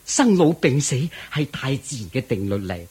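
An actor playing an older king, an anxious and possessive father, in a Cantonese radio drama, raspy and hesitant as he protests. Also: medium pitch, big pitch swings, moderate pace, a little mellow. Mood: helpless, pleading.

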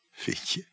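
An actor in a radio play, playing an insecure, jealous married lover who gives hesitant, short, mumbled replies. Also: male voice, pushed and bright, heavily acted. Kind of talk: naturalistic radio play acting.

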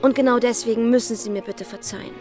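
A woman's voice: a little high, fairly even intonation, quick pace, a little mellow, faint music behind, natural voice. An actress playing a worldly, witty young society lady with a breezy, ironic manner in a radio drama. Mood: playfully apologetic.

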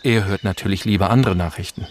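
An actor playing a loyal, discreet aide to a deposed emperor, narrating in a measured, formal, careful way in a radio drama. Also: male voice, deep voice, very noisy, natural voice. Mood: resigned, discreet.

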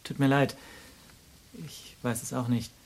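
An actor playing a guarded man under questioning in a radio play, husky, polite and evasive. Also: mid-range voice, flat delivery, measured pace, slight background noise, natural voice. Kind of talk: radio play acting with narration.

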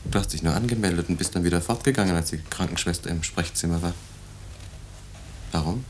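An actor playing a calm, concerned husband in a 1950s radio drama, questioning in a measured, patient way. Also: male voice, natural voice. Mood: concerned, probing. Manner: measured, probing. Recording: faintly noisy, rumbly old radio recording.

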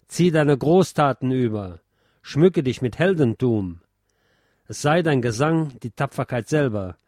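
A man giving a scripted literary talk and reciting poetry in a measured, deliberate, declamatory way, like a radio presenter. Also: mid-range voice, lively intonation, darkish tone, no room sound, studio quality.

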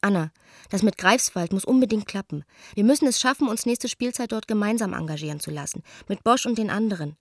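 A woman's voice: medium pitch, brisk, no room sound, studio quality, natural voice. An actress playing a proud, lonely young stage actress reading her reflective, confiding letter in a radio play. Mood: subdued, then urgent. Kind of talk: radio play, letter read as intimate monologue.

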